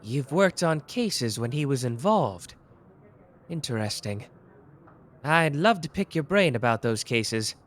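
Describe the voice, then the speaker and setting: A man voicing a sharp, playful young male detective in a listener ASMR roleplay, teasing one moment and grave the next. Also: mid-range voice, sing-song. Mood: brooding. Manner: quick, teasing, theatrical shifts between cheeky and grave.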